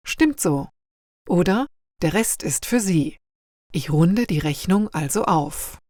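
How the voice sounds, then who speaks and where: Female narrator, lowish register, measured didactic read for a language lesson, dry recording. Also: darkish tone, dry recording. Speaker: narrator of language-learning listening texts. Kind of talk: scripted narration, read-aloud lesson for learners.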